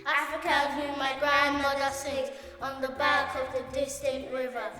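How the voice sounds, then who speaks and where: A young girl reciting a poem in a solemn, measured way. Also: sits high, flat delivery, pushed and bright, echoey room, big-room sound, soft background music. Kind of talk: poetry recitation.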